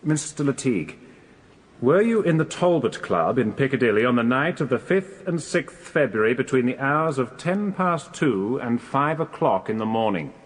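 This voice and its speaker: A man's voice: medium pitch, sing-song, normal speed. A man playing a polite, slightly hesitant British defence barrister in a radio courtroom drama, formal and probing. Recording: reverberant courtroom-like hall.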